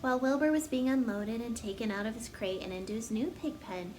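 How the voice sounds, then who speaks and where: A woman's voice: on the high side, brisk, a little mellow, faint music behind. A woman narrating a children's story as a storyteller, reading aloud expressively.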